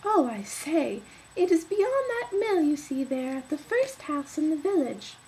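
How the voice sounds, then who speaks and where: An innocent, trusting little village girl in a read-aloud fairy tale, with a woman putting on the voice. She speaks high and slow, earnest and wide-eyed, with a slightly gravelly edge. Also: big pitch swings, mellow, a little hiss or hum, exaggerated voice, low-quality recording.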